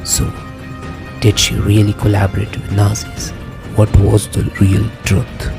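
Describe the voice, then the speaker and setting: Low-pitched male announcer over music. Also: completely flat delivery, unhurried, vocal fry. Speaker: podcast announcer. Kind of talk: scripted narration. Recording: loud music bed under voice.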